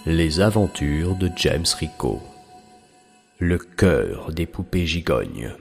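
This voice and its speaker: A man's voice: a bass voice, sing-song, takes it slowly, creaky, a little mellow, soft background music. A man announcing titles as dramatic scripted narration.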